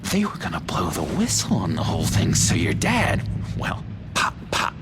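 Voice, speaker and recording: An actor playing a gleefully menacing, theatrical madman in a video game, creaky and swinging between hushed confiding and shouting. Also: low voice, huge pitch swings, normal speed, loud music behind the voice. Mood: conspiratorial excitement. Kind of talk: dramatic video game acting.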